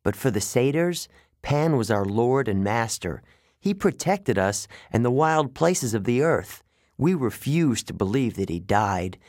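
A man voicing an earnest, idealistic young male satyr who sounds wistful and quick to take offense. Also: mid-range voice, expressive, a little mellow, no room sound, clean studio sound, lightly acted. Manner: wistful, indignant about pollution.

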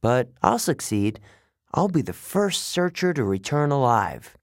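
A man voicing an earnest, idealistic young male satyr who sounds wistful and quick to take offense. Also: mid-range voice, very animated, no room sound, studio quality, pitched above the performer's own voice. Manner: wistful, indignant about pollution.

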